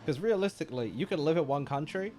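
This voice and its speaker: A man's voice: fairly high pitch, expressive, quick pace, dark tone, slight background noise. A man streaming live, giving casual, slangy and joking commentary as he reacts to a game. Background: game music.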